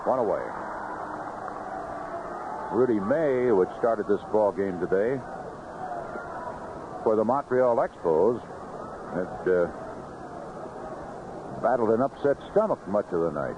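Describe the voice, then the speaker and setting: Male American baseball play-by-play voice, lively inflection, measured clipped descriptions, vintage radio recording with clear background noise. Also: fairly low pitch, lo-fi sound. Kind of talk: live radio sports commentary. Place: outdoor ballpark broadcast booth. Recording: noisy vintage 1970s AM radio broadcast.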